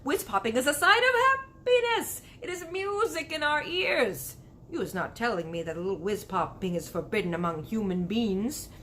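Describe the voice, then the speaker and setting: A woman reader puts on a big, proud male giant from a storybook. He is excitable and easily indignant, swooping up and down as he stretches out his exclamations in muddled grammar. Some noise sits behind the voice. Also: a little high, moderate pace. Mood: delighted.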